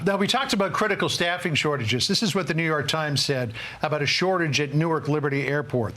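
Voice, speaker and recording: A man's voice: on the high side, fairly bright tone. A man working as a news anchor, interviewing a guest in an emphatic broadcast style that repeats words for stress.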